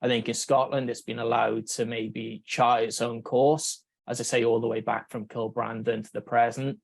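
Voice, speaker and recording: A Welsh man, a researcher, giving a conference talk in a measured way, thinking aloud with fillers and restarts. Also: medium pitch, level tone, vocal fry, no echo. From Wales. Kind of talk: conference presentation.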